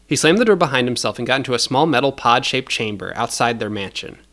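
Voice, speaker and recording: A man's voice: medium pitch, expressive, fast talker, fairly bright tone. A man narrating scripted audio fiction as the storyteller.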